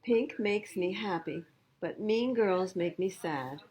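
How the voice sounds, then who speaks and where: Storybook schoolgirl, played by a woman: emphatic, self-pitying, expressive, slightly husky delivery. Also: medium pitch, slow pace, low-quality recording. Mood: sad, defiant. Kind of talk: storybook character reading.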